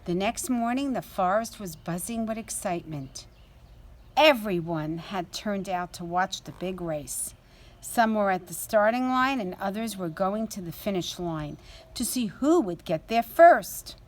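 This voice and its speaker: Slow, deliberate woman storyteller. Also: mid-range voice, lively intonation. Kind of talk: children's story read aloud.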